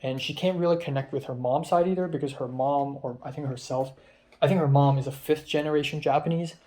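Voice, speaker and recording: A man's voice: on the high side, fairly even intonation, a little fast, dark tone. A young man from Hong Kong, a film student, rambling through a casual vlog recap of his day with many ums and restarts. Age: young adult.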